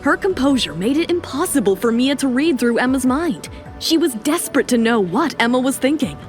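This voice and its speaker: A woman narrates an audio drama in a smooth, even storytelling delivery. Her voice sits a little high, and quiet music plays beneath her. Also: fairly quick.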